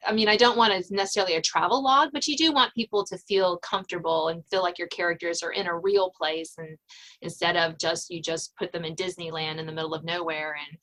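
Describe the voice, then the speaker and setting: An American woman hosting a casual interview, informal and somewhat halting as she works her way through questions and comments. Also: on the low side, steady tone, a bit bright, poor audio. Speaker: podcast host.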